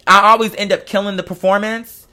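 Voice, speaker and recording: A man's voice: high voice, flat delivery, brisk, pushed and bright. A man in a casual, chatty monologue, speaking in a sassy, campy, slangy and emphatic way.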